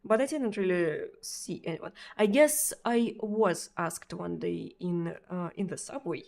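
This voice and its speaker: A woman's voice: fairly low pitch, measured pace, creaky, darkish tone. A Russian woman streamer speaking English with a Russian accent in casual chat, hesitant, with many fillers and repeated words. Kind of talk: casual chat answering viewers.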